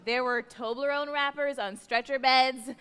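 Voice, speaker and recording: A woman's voice: sits high, steady tone, moderate pace, fairly bright tone, clean tone. An American woman comedian telling a comic story on stage as a live radio play. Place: large theatre stage. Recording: echoey theatre hall, live stage noise.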